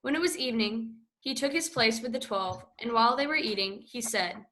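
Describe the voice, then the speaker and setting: Woman narrating a bible reading, bright clear tone, kinda high, steady. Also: a little fast. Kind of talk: scripted scripture narration.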